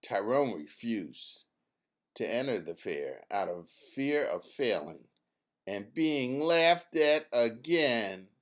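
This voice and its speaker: A man reading his own children's book aloud as an expressive, emphatic storyteller. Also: mid-range voice, slow pace.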